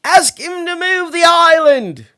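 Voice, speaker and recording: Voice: extremely high pitch, takes it slowly, bright, forceful tone, voice pushed up, exaggerated voice. Storyteller as a plucky, emphatic barber hero. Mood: urgent. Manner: emphatic, urgent calling out.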